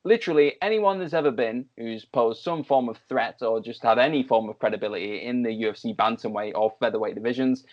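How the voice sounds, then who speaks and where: A young man with a Manchester accent co-hosts a relaxed sports discussion, chatty and animated, often stumbling over his words. His voice sits at a medium pitch. The audio is a little dull and lo-fi. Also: quick pace, few pauses. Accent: Mancunian English. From England. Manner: chatty, animated, with frequent fillers and restarts. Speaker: co-host. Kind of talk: casual sports chat.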